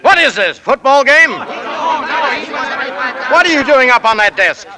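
A blustering male boss barks angrily in a high voice that swings widely, with a crowd behind him on an old radio broadcast. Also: big pitch swings, a little slow, full character voice. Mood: furious. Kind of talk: 1940s radio acting.

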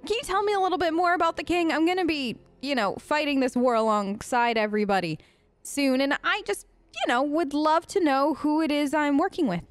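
A woman with a high voice chats her way through a role-play gaming video. She is polite and rambles as she goes, and the sound is close and dry. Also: fairly quick, no room sound. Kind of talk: improvised role-play chat over a video game.

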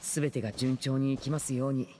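A man playing a composed, kind young stage magician in an anime game, speaking in a measured, earnest and soft way. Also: mid-range voice, fairly even intonation, big-room sound, a little hiss or hum, lightly acted. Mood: earnest, careful. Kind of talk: Japanese anime game dialogue acting.

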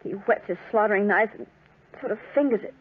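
An actress playing a frightened, worn-out farm wife, pleading and halting, in 1940s radio drama style. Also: female voice, medium pitch, husky, natural voice. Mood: fearful, weary. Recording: narrow, tinny old 1940s radio recording.

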